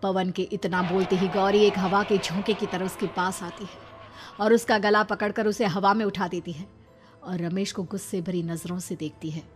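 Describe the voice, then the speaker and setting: A woman's voice: mid-range voice, steady tone, normal speed, soft background music. A woman narrating a scripted horror story.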